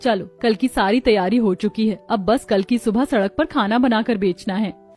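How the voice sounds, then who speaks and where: Cartoon role: dutiful daughter, twenties, played by a woman. Earnest, resolute, highish pitch. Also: moderate pace, darkish tone, faint music behind, natural voice. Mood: earnest resolve. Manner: earnest, respectful.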